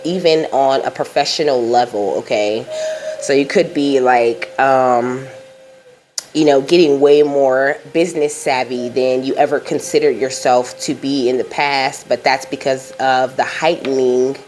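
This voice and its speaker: A woman's voice: a bass voice, darkish tone. A woman giving a casual psychic card reading, rambling and reassuring, peppering her talk with "you know" and "okay?" while passing on messages from Spirit. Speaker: psychic tarot reader.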